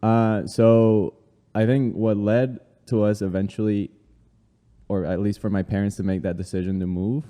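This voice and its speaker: A man in his thirties from Colombia, a guest telling his life story in a relaxed way, with many fillers. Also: fairly low pitch, normal speed, in a large hall. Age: 30s. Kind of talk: casual interview conversation.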